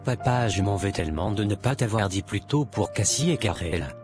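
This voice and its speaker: An actor playing a worried teenage son in an animated drama, speaking earnestly and apologetically. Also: male voice, on the low side, normal speed, over music, lightly acted.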